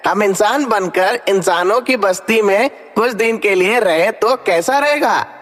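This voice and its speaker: A performer voicing a confident, scheming shape-shifting ghost in an animated story. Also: medium pitch, measured pace, lightly acted. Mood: confident, plotting. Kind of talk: animated Hindi story dialogue. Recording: long hall reverb, music underneath.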